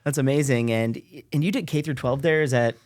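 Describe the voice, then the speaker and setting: Fast-talking, upbeat male interview host. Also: mid-range voice, a little mellow. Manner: upbeat, enthusiastic, full of praise. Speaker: podcast host. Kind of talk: casual interview hosting.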